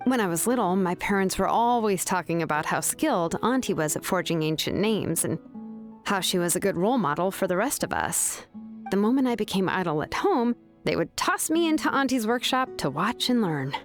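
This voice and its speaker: A woman's voice: mid-range voice, lively intonation, a little fast, natural voice. A woman voicing an easygoing young woman artisan, affectionate and reassuring, in an anime game. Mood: relaxed, fond. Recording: game music behind.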